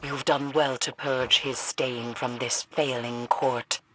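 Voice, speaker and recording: A woman's voice: extremely low pitch, level tone, slow pace, a little hiss or hum. A woman voicing a stern, cryptic mystic guide in a video game, with formal, ominous, archaic phrasing. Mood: grave, disdainful. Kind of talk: scripted video game voice acting.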